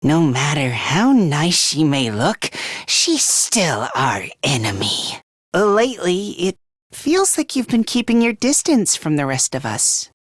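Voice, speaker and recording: A timid young anime game student boy, really high voice, stammers and runs himself down, slow and swoopy. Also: huge pitch swings, fairly bright tone, dry recording, studio quality, heavily acted. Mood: startled, worried. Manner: hesitant, stammering, self-deprecating. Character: timid, self-loathing young male student fighter. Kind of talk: English video game voice acting.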